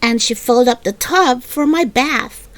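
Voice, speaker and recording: A woman narrating a children's chapter book aloud in an expressive, scripted storytelling style. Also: high voice, unhurried.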